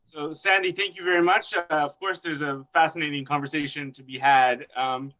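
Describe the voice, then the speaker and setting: A man with a bright, forceful, fairly high voice speaks on a video call. He is hesitant and literal-minded and keeps restarting his sentences. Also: fairly even intonation, normal speed, rough recording. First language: Hebrew. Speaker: panelist, liturgy writer. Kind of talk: reflective webinar remarks.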